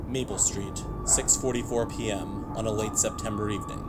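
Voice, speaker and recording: An actor playing the solemn, ominous narrator of an uncanny radio play, reading scripted narration. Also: male voice, lowish voice, fairly even intonation, normal speed, very noisy, lightly acted. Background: loud music.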